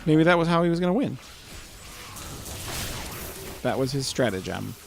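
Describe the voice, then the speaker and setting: A man's voice: a little high, very animated, normal speed, vocal fry, over music. A man playing a video game and chatting casually, with wry joking asides. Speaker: gaming video co-host and player. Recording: slightly noisy home gameplay recording.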